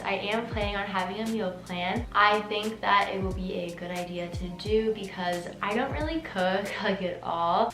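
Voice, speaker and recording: 18 yo girl rambling thru a q&a vlog, says like a lot, kinda sore throat, echoey room w music going. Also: mid-range voice. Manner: chatty, lots of "like" fillers. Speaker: vlogger. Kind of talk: casual Q&A vlog. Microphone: camera mic.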